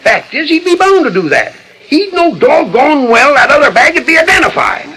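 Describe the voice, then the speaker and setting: A man playing a bullheaded, bossy frontier sheriff with a gruff American folksy drawl in 1940s radio drama style. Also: very high-pitched, sing-song, normal speed, crowd noise. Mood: smug. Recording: old 1940s radio transcription disc.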